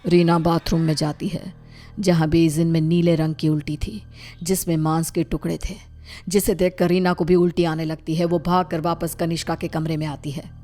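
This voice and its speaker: A woman's voice: low-pitched, level tone, moderate pace, dark tone. A woman narrating a scripted story, with music behind her voice.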